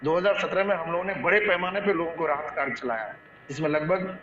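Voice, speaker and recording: A man's voice: a little high, background noise. A man with a Bihari accent discussing local issues as a guest, repeating himself and searching for words. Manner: halting, repetitive, searching for words. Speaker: local activist guest in online debate. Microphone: online call. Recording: echoey muffled online call, background crowd murmur.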